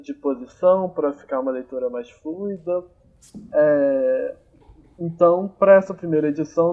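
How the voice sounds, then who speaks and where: A young man hosting a podcast in a relaxed, casual way, thinking aloud with many fillers, recorded on amateur home gear. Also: fairly high pitch, lo-fi sound. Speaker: podcast host. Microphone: amateur home recording, phone or computer.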